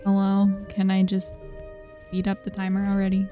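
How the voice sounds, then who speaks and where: A woman's voice: medium pitch, monotone, moderate pace, highs cut off. A woman giving casual playthrough commentary as a game streamer, with muttered exasperated asides. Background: soft game music.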